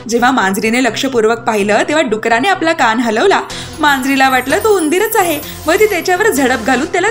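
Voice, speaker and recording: A woman narrating a scripted children's fairy tale as an expressive storyteller. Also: sits high. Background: music.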